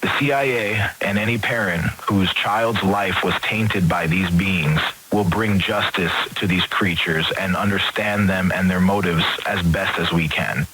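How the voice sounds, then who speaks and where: A stern leader of a group of bear hunters makes grim declarations, as a man plays him. His voice sits low. A faint hiss runs under it. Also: bright, forceful tone, rough recording. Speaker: leader of a creature-hunting group.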